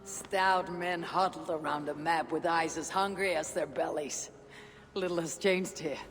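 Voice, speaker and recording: An actress playing a weathered Viking warrior woman in a game cutscene, speaking solemnly and formally with a husky, sometimes breathy voice. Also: low-pitched, fairly even intonation, faint music behind. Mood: warm, wry. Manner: measured, solemn, formal phrasing. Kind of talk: dramatic video game cutscene acting.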